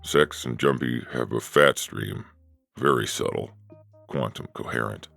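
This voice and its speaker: A man playing a slow, mysterious tech crewman who speaks with heavy significance in an audio science fiction story. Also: rumbling low, vocal fry, a bit bright, no echo, heavily acted. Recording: music bed under dry voice. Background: music.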